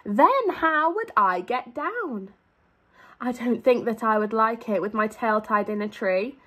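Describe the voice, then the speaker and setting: A woman's voice: on the high side, expressive, a little slow, a bit bright. A young woman reading a children's storybook aloud as a teacher, expressively, drawing out key words for emphasis. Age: young adult. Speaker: primary school teacher.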